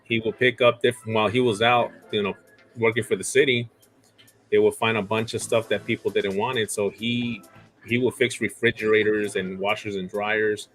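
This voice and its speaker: A man in his forties, a guest on a casual chat, talking in a relaxed way with a lot of "uh"s. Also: on the low side, moderate pace. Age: 40s. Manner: relaxed, many fillers and restarts. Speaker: guest reseller.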